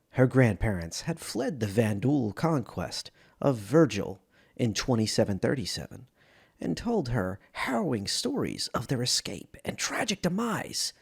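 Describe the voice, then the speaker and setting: A man giving a dramatic, measured narration of science fiction lore as a storyteller. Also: medium pitch, creaky, breathy edge.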